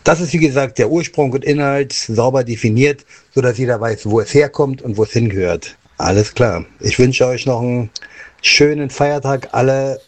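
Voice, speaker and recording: A man's voice: mid-range voice, normal speed, no room sound. A man leaving a casual voice message as a listener of a radio show.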